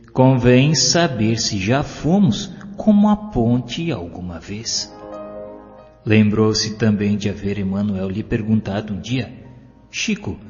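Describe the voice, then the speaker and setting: A low-pitched man recites devotional prose and verse in a solemn, declamatory way, drawing out his phrases. His voice sits in a long, hall-like reverb. Music plays clearly behind him. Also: darkish tone, muffled recording. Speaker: audiobook narrator. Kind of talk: scripted devotional narration with poetry recitation.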